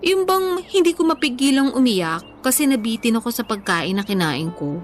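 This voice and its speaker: Hopeful young gal in a radio drama, kinda high voice, confiding, soft music bed. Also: female voice, big pitch swings, normal speed. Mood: regretful confiding. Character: earnest young woman on a weight-loss journey, hopeful. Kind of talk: Tagalog radio drama dialogue, conversational acting.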